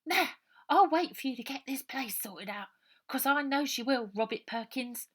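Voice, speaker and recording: Storybook orphan girl played by a woman: scrawny, bossy, higher-pitched, urgent and streetwise. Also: expressive, moderate pace, husky. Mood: proud, firm. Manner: urgent, streetwise, nonstandard grammar.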